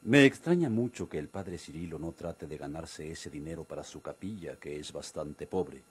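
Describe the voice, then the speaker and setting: An actor playing a grave, inquisitive village priest, speaking in a measured way in a radio drama. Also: male voice, extremely low pitch, animated, breathy edge, full character voice. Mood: puzzled, measured. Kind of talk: Mexican radio drama acting.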